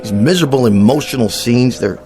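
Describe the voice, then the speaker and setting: An actor playing a vain, whiny, pampered TV cowboy star, petulant and pompous, in a comedic radio drama. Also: male voice, medium pitch, a little fast, over music, close to an everyday voice. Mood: whiny, demanding. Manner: petulant, pompous, self-pitying.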